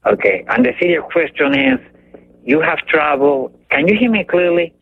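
An older caller with a Cuban accent, speaking in a halting, emphatic way as he hunts for his words. His voice is gravelly and swings up and down a lot. It comes through a tinny phone line with a faint hiss. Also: on the high side, lively intonation. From Cuba. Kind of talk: call-in radio chat.

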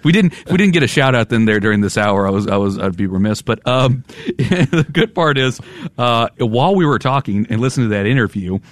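A man co-hosting a radio fundraiser, chatty and quick to laugh while he reads out pledge details. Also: medium pitch, lively intonation, no room sound. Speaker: radio host. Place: radio studio.

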